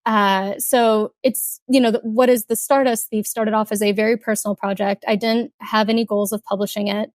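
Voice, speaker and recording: A young woman from Kuwait, an author talking casually in an interview, chatty with many fillers and soft laughs. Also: mid-range voice, flat delivery, normal speed, hardly any pauses, no echo. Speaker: author guest.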